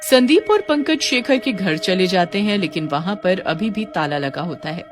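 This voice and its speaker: A woman's voice: mid-range voice, lively intonation, normal speed, over music. A woman reading scripted narration for a cartoon story.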